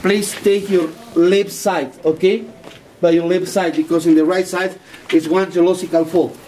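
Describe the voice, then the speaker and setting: A man in his forties working as a tour guide, explaining in halting non-native English, recorded by a visitor's camera inside a rock cave. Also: sits high, level tone, warm tone, slight background noise. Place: inside a rock cave and on an outdoor hillside path. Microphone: tourist's camera at a few steps' distance. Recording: echoey cave recorded from a distance.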